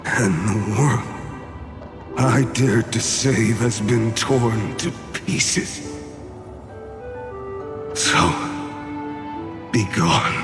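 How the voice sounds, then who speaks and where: An actor playing a grim, weary fallen warrior in a video game, speaking solemnly and bleakly. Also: male voice, lowish voice. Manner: slow, solemn, bleak delivery. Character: weary, grim, fallen male warrior burdened by endless duty. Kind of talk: dramatic video game acting. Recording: long cavernous reverb over music.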